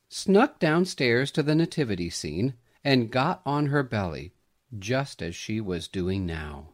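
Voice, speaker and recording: Slow, expressive male storyteller. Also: fairly low pitch. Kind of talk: scripted family story narration.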